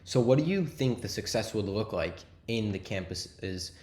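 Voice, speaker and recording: A young man co-hosting a podcast, asking questions with relaxed, casual phrasing. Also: fairly low pitch, normal speed. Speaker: podcast co-host. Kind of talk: interview questions. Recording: roomy echo.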